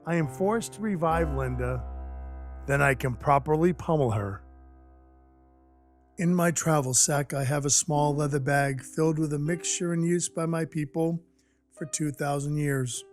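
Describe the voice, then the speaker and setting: Wry, deadpan dwarf enforcer, acted by a man over music. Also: a little high, a little slow, close to an everyday voice. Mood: grave, wry. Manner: wry, deadpan, mock-polite storytelling. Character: gruff, sardonic male dwarf enforcer for Santa. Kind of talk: first-person audio drama narration with dialogue. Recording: music behind the voice.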